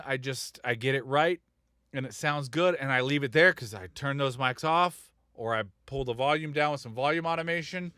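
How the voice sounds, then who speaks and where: A man's voice: fairly high pitch, fairly bright tone, no echo. A man talking shop as a music producer in a casual studio chat, blunt and rambling, with frequent chuckles.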